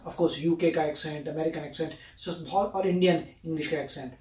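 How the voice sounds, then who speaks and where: A man's voice: a little high, a little mellow. A man from India explaining patiently in casual chat, often slipping into English phrases. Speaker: reaction vlogger. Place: US home. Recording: echoey room, far from the mic.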